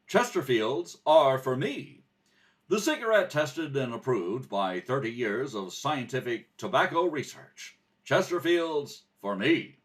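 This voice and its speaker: A man's voice: medium pitch, fairly bright tone, voice pushed up. A man playing an upbeat, emphatic salesmanlike announcer reading a 1950s-style radio commercial.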